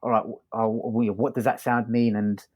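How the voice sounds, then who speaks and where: A podcast co-host chatting casually and informally, with a somewhat hesitant, halting delivery. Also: mid-range voice, little pitch movement, darkish tone, dry recording, studio quality. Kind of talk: casual chat, audio drama review.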